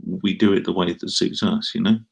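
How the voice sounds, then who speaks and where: A man's voice: deep voice, little pitch movement, brisk, creaky, husky. A middle-aged man from Essex with an Essex accent, chatting casually on a live stream and answering comments in a rambling, self-deprecating way. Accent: Essex English. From Essex, England. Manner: rambling, self-deprecating, frequent ums and restarts.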